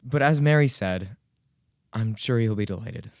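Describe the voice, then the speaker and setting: A man acting a conciliatory, high-minded poet and husband in a period radio drama, with a formal, placating manner. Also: a little slow, no echo, clean studio sound.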